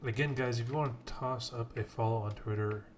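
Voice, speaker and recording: A man's voice: medium pitch, fairly even intonation, a little fast. A man casting an esports tournament on a live stream, chatting casually and apologizing a lot. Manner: chatty, self-deprecating, apologetic. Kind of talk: casual late-night live stream chat. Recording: keyboard typing noise behind voice. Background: clear keyboard typing.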